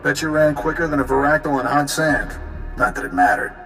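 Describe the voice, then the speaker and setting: An actor playing a smug, mocking villainous smuggler in a video game, with a sarcastic, swaggering delivery. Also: male voice, a little high, animated, brisk, vocal fry, fairly bright tone. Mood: gloating. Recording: music behind the voice.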